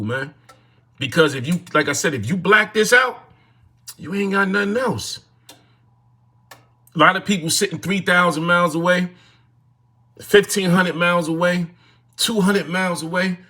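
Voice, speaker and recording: A man nearing fifty, speaking into his phone on a casual livestream. His voice is bright and forceful with a husky edge. He talks in a repetitive, sermon-like way and greets viewers in the chat by name. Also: mid-range voice. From North New Jersey, USA. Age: 40s. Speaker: livestream host.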